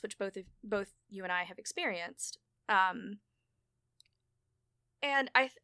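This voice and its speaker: A woman's voice: mid-range voice, sharp tone, dry recording, studio quality. A woman hosting a podcast, talking casually in a chatty way and often breaking into laughter. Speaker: podcast host and show creator.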